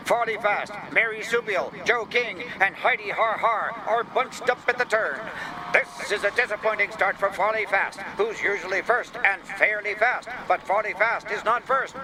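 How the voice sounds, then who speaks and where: Male sports commentator acted by a story reader, very high-pitched, eager play-by-play for a children's storybook, noisy surroundings. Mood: brisk, excited. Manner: punning, building play-by-play delivery. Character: excitable male race commentator calling a children's animal race.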